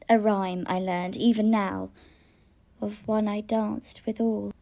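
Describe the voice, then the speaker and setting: A woman's voice: medium pitch, steady tone, slow, creaky, dark tone. An actress playing a lovestruck young noblewoman in an amateur Shakespeare audio drama, recorded at home on a phone. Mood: stricken, lovelorn.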